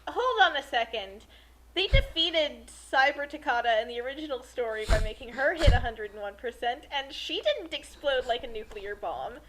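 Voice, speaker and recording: A woman's voice: high-pitched, expressive, moderate pace, fairly bright tone. A woman giving joking, casual commentary as a co-commentator. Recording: noisy track with clicks.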